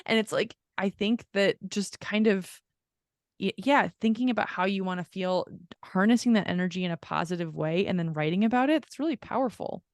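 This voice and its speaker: A woman with a medium-pitched voice chats casually as a podcast co-host. She is affirming and effusive, drifting into giggly, self-deprecating tangents. The sound is dry, with very little room in it. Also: brisk, no room sound. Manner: gushing, affirming. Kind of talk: casual podcast chat between friends.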